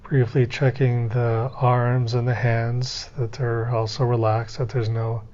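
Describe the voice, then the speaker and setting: A man teaching a guided meditation, gently coaching step by step in an unhurried way with pauses. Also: medium pitch, little pitch movement, lo-fi sound.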